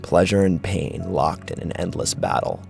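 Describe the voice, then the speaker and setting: Male horror narrator: low, gravelly, dramatic and animated, faint music bed. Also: moderate pace.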